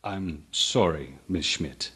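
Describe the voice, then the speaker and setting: Man acting a husky, curt major. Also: very deep voice, takes it slowly, bright, forceful tone, exaggerated voice. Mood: stern, dry. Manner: clipped, authoritative, dry. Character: cool, curt British military police major. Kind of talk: radio drama acting.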